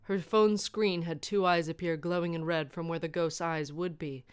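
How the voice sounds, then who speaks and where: A woman with a fairly low voice reads a scripted story aloud. She works as the narrator of comic fan fiction, delivering prepared prose. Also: steady tone, normal speed, smooth.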